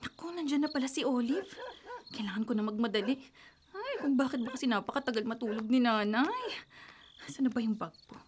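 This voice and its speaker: A woman's voice: a little high, animated, fairly quick, noisy background, natural voice. A woman playing a timid, worried young daughter. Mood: hurried. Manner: hesitant, worried. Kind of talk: radio drama acting.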